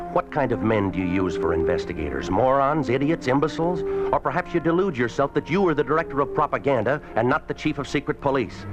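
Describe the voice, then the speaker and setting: An actor playing a domineering Communist Party boss in a 1950s radio drama, clipped, commanding and coldly sarcastic. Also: male voice, mid-range voice, close to an everyday voice. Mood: scornful fury. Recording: old 1950s radio broadcast, music behind.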